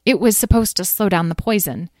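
A woman's voice: medium pitch, brisk, darkish tone, dry recording, studio quality, pitched above the performer's own voice, close to an everyday voice. A woman voicing an earnest young girl who is a knight in training. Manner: eager, urgent.

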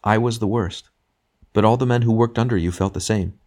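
A man's voice: lowish voice, a little fast, voice pushed up, lightly acted. Man playing a serene, patient redeemed spirit. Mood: frank, kindly. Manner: calm, patient, gently urging. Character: serene, joyful male redeemed murderer spirit, younger, gently frank. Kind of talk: literary audiobook character dialogue.

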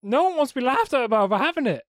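A man's voice: very high voice, big pitch swings, rapid-fire. A man co-hosting a casual podcast chat, his voice run through a voice modulator. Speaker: podcast co-host.

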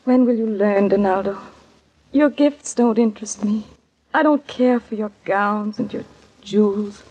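A woman's voice: mid-range voice, unhurried, a little mellow, slightly rough. An actress playing a lovesick, defiant captive young woman in melodramatic 1940s radio drama style. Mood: weary, resentful. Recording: dull vintage radio recording.

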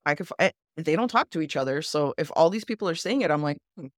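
A woman hosting a podcast, chatting casually in a low, animated voice. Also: quick pace, dry recording, clean studio sound. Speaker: podcast host.

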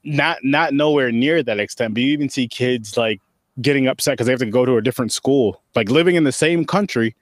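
A male interviewer with a mid-pitched voice asks questions in a casual, enthusiastic way, often stumbling over his quick reactions. The sound is dry and close, with no room echo.

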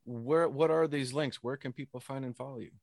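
A man with a mid-pitched voice hosts a casual interview. He is effusive and folksy in the way he talks. The sound is dry, with very little room around him. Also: brisk, gravelly, no room sound. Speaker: podcast host.

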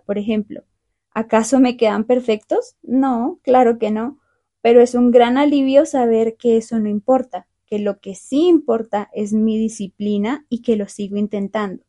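Young woman hosting a spanish learner podcast, mellow warm voice, slightly high, calm and clear. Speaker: podcast host. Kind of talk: scripted podcast monologue for learners.

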